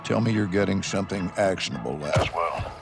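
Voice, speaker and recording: An actor playing a stern, blunt older American general in a video game, speaking with terse authority. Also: male voice, sits low, brisk, gravelly, music in the background. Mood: frustrated. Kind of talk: video game cutscene acting.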